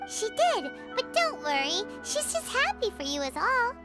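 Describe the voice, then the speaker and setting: Tiny squeaky fairy sidekick girl, super high, bouncy up and down, cheerful anime game, music behind. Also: sing-song, unhurried, exaggerated voice. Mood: reassuring. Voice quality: childlike, squeaky. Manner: exclamatory, refers to self in third person. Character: small perky fairy companion girl, cheerful, blunt, food-loving. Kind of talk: anime-style video game voice acting.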